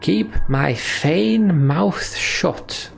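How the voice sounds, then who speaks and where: A man voicing brash, teasing fantasy mercenaries in a video game, with loud, jeering banter. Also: a little high, lively intonation, very slow, gravelly, a little hiss or hum, voice pushed up, heavily acted.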